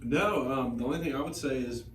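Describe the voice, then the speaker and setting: A man's voice: mid-range voice, fairly quick. A man in his late thirties, a guest in a casual podcast chat, who rambles and restarts his sentences often. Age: 30s. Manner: rambling, many restarts and repeated words. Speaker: guest, brewery owner. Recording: echoey room, noisy, mic at a distance.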